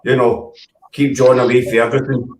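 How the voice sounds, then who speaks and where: A man's voice: mid-range voice, level tone, a little fast, a little mellow. A man on a casual video chat from home, rambling and joking with the others. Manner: jokey, rambling banter. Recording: echoey room, far from the mic, faint noise.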